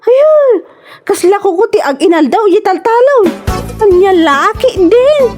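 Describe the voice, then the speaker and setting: A woman's voice: very high voice, unhurried, over music, heavily acted. A Filipino woman with an Ilocano accent playing a vain, boastful, scornful village woman in a comedy radio drama. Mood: taunting. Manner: animated, scornful, exclaiming.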